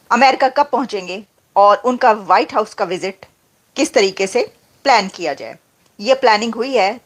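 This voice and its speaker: A woman with a high-pitched voice delivers news commentary. She speaks emphatically, restating her points and putting rhetorical questions to the audience.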